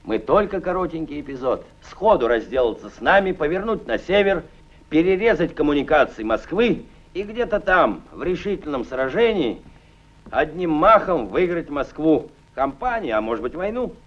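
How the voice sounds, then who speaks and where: A stern Soviet general. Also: male voice, fairly high pitch, sing-song. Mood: grave. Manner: commanding, measured. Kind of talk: 1940s film acting. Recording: dull old film soundtrack.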